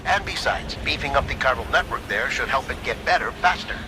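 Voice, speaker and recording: A man's voice: medium pitch, animated, a little fast, close to an everyday voice. An actor playing a firm but reassuring male commander, briefing a courier with persuasive authority in a game. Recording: clear background noise.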